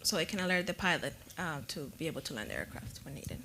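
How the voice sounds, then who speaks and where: A woman with a fairly low voice explains her product to a panel in a large conference room. She talks with many fillers, and faint hiss runs behind her. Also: big pitch swings, quick pace, pushed and bright. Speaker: co-presenter. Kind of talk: answering panel questions.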